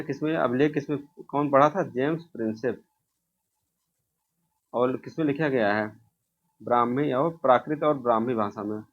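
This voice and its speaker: A dull, slightly lo-fi recording of a man with a mid-range voice. He works as a coaching teacher running through revision questions. He asks each question, answers it himself and repeats the key answer. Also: medium pitch.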